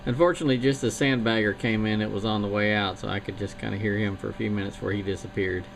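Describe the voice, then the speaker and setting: A man's voice: fairly low pitch, steady tone, fast talker, creaky. A man chatting casually and rambling a bit as he talks on a CB radio. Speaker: CB radio operator. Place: home radio station. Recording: noisy radio transmission.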